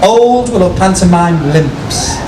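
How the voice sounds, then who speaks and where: A man's voice: high-pitched, expressive. Theatrical man reciting poetry, distant and echoey. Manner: theatrical, rhythmic, drawn-out phrasing. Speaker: performance poet and compere. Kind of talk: dramatic live poetry recital with casual asides. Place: outdoor city square, poetry tent. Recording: distant, echoey, noisy outdoor live recording. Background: street ambience and passers-by noise.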